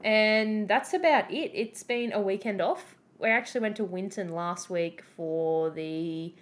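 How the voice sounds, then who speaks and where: A woman co-hosting a podcast, chatty and teasing, talking in quick bursts. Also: mid-range voice. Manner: bubbly and teasing, fast bursts. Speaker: podcast co-host. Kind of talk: casual chat.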